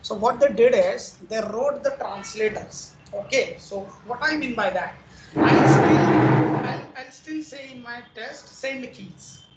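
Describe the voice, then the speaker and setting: An instructor from India, patiently explaining software testing in a recorded lecture and often checking in with "okay" and "right". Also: mid-range voice, measured pace, dull audio. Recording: roomy echo, faint background noise.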